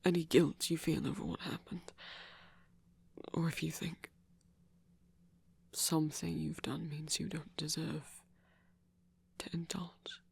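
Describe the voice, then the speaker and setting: Man playing courteous seductive vampire, ASMR roleplay, husky breathy whisper, creaky, unhurried and deliberate. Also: a little high, level tone, darkish tone, full character voice. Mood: calm, coaxing. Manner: slow, deliberate, formal phrasing. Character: flirty courteous male vampire, softly seductive. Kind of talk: intimate ASMR audio roleplay.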